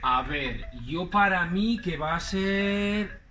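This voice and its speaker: A man in his forties from Zaragoza, with a Spanish accent, acting out a slow, teasing teaching dialogue outdoors on a clip-on mic. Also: high-pitched, sharp tone, far from the mic, faint music behind. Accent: Spanish from Aragon. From Spain. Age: 40s. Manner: teasing, drawn-out emphasis. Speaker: language teacher in a scripted dialogue. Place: outdoors in front of a green wall.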